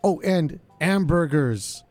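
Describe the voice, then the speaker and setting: Laid-back man DJ, slightly raspy. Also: fairly high pitch, lively intonation, slow pace, mellow, faint music behind. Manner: laid-back, lots of fillers. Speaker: radio DJ host. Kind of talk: casual radio show chat.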